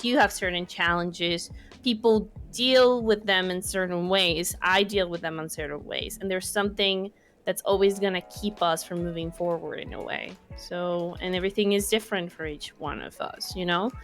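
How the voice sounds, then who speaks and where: A woman in her twenties from Mexico with a Mexican Spanish accent, a podcast guest speaking casually in English, her second language, pausing and restarting to find her words. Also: on the low side, fairly bright tone. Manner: thoughtful, searching for words, many restarts. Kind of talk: casual conversation.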